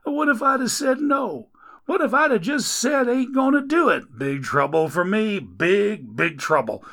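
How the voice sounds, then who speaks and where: A man doing a loud griping rescuer. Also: fairly high pitch, moderate pace, gravelly, voice pushed up. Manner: loud, grumbling, folksy slang. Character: griping, foul-mouthed adult male rescuer.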